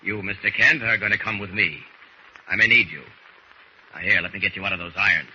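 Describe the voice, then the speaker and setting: An actor playing a commanding, heroic superhero in an old radio drama. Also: male voice, fairly low pitch, a little fast, sharp tone, slightly rough, heavily acted. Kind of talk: 1940s radio acting. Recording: dull, noisy old radio recording.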